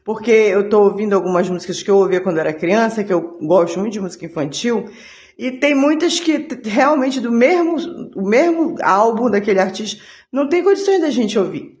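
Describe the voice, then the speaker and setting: A woman talks earnestly about faith to her viewers, pausing and restarting mid-phrase as she gathers her thoughts. Her voice sits at an ordinary middle pitch and rings in a roomy, echoing space. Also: lively intonation, a little mellow. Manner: earnest, halting, many restarts and repeated words. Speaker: channel host. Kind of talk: religious monologue to camera.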